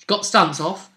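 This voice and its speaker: A man retelling a tabletop roleplay session as game master, in animated, emphatic conversational storytelling. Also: high-pitched.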